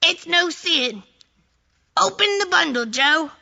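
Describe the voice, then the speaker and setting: An actress playing a brazen, callous Victorian thieving woman in an audio drama, shrill, defiant and unashamed. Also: expressive, slow, vocal fry, sharp tone, heavily acted.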